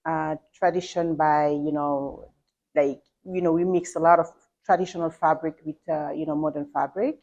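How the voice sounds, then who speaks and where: A woman from Senegal, a fashion designer talking about her brand in an interview, pausing often with fillers and restarts. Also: sits low, fairly even intonation, darkish tone, muffled recording. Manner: hesitant, many fillers and restarts. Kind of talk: interview, answering questions.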